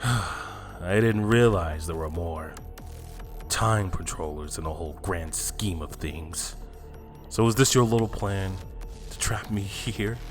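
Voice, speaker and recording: A man's voice: low-pitched, takes it slowly, soft background music. A man playing an arrogant, mocking villain who destroys timelines, in a theatrical anime fan drama style. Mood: mocking, suspicious. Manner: taunting, theatrical.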